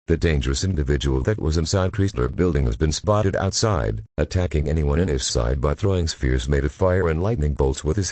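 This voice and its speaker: A man's voice: a bass voice, a little mellow, no echo. A man reading a formal scripted emergency alert as an official announcer.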